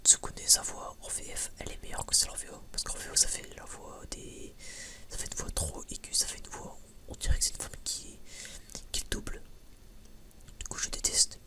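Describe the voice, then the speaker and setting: An ASMR creator whispering in a breathy, unvoiced voice, rambling informally and hesitantly as if chatting to viewers. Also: very high voice, monotone, normal speed.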